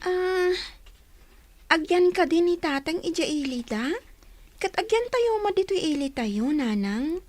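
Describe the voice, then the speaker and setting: Inquisitive schoolgirl, childlike, very high, animated intonation, radio drama. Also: measured pace, long pauses. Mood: curious. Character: curious, eager schoolgirl, an older sister.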